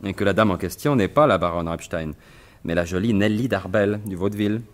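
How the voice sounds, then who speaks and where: An actor playing a sly, self-assured gentleman thief turned sleuth in an animated 1950s-style French radio mystery. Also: deep voice, brisk, natural voice. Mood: confident, explaining.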